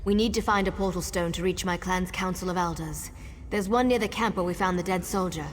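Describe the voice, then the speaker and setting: A woman's voice: fairly low pitch, brisk, slight background noise, lightly acted. A woman voicing a determined, practical wood elf guide in a video game, purposeful and instructive. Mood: urgent.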